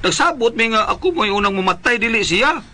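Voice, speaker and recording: Sulky, high-pitched old Visayan father. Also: animated, fast talker, bright, forceful tone, exaggerated voice. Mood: sulky, hurt. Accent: Filipino, Visayan. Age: older. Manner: sulky, petulant complaining. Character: grieving widowed father, melodramatic, sulky and stubborn. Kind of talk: radio drama acting.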